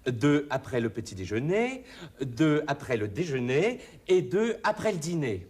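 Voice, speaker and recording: A man's voice: on the high side, very animated, unhurried, a little mellow. A man playing a patient, slightly exasperated male pharmacist who explains things carefully. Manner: patient, instructive, mildly exasperated. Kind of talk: scripted comedy language sketch.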